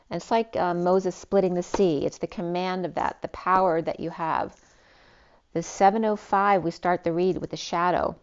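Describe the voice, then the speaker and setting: Woman tarot reader, smooth and lowish. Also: highs cut off. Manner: rambling, free-associating, many restarts. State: losing her voice. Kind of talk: spiritual tarot reading.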